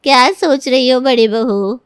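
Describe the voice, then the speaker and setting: A woman's voice: high voice, unhurried, clear, resonant tone, no room sound, clean studio sound, pitched above the performer's own voice. A woman voicing an approving older visiting aunt in a storybook drama, effusive and emphatic. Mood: inquiring.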